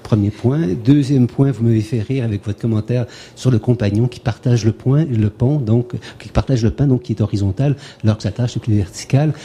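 A man with a Québécois French accent speaks up at an academic discussion. His voice is very dark and mellow, and his talk wanders through anecdotes, repeating words while he hunts for the next one. A faint noise sits behind him. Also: medium pitch, a little fast, few pauses. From Canada, Quebec. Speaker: conference participant asking a question.

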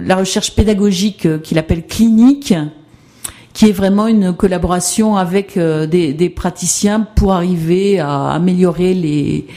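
A woman's voice: lowish voice, warm tone. A woman giving an academic presentation on education research, hesitant and full of fillers and restarts.

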